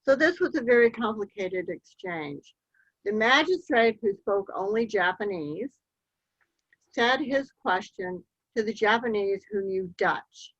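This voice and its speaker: Woman giving a slow history talk over slides, dull dry lo-fi sound. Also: on the high side, animated, no room sound. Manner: measured, slow storytelling with occasional ums. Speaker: local history speaker.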